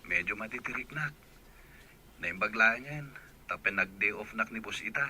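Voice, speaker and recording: A man's voice: lowish voice, expressive, moderate pace, sharp tone, a little hiss or hum. A man playing an earnest, polite young boyfriend in a radio drama, with an Ilocano Filipino accent. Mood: earnest, apologetic.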